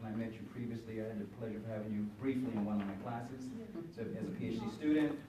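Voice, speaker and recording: An older man moderating a panel, halting and hesitant, full of fillers and restarts. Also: on the low side, steady tone. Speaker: moderator, university teacher. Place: panel room with audience. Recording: echoey room, far from mic, noisy.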